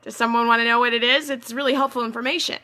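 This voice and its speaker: A woman's voice: a little high, steady tone, racing, bright, forceful tone, faint music behind. A woman life coach talking casually to her followers on a livestream, earnest and repetitive, often checking in with "okay?". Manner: earnest, repeats phrases, many fillers and "okay?". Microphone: phone or webcam.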